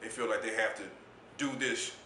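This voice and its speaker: A man with a deep voice, speaking as an interview guest in a laid-back, reflective way about his poetry and youth mentoring. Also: fairly even intonation, a little fast, sharp tone, reverberant, slight background noise. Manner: laid-back, reflective, says "you know what I'm saying" often. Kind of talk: casual interview talk.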